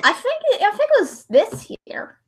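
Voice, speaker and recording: A woman hosting a casual livestream, chatting in an expressive, exclamatory and easily distracted way. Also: extremely high pitch, moderate pace. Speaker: livestream host editing videos.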